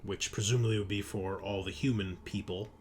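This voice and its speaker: A man with a low voice narrates a tabletop game as game master. He describes things off the cuff, pausing and hesitating as he goes. There is noticeable noise in the background. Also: fairly even intonation, darkish tone.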